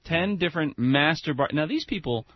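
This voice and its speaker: A man's voice: fairly high pitch, animated, moderate pace, highs cut off. A man co-hosting a radio show, chatting casually and enthusiastically, rambling with many restarts.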